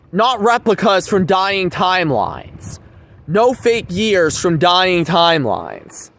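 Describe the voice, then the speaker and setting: A man giving a rambling, informal monologue to viewers, repeating his phrases for emphasis. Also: high voice. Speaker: vlogger.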